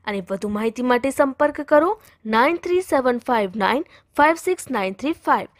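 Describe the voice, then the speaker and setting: A woman reading a scripted property advertisement in a clear, persuasive announcing manner. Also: sits high, expressive, brisk, no echo, clean studio sound. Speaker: ad voice-over.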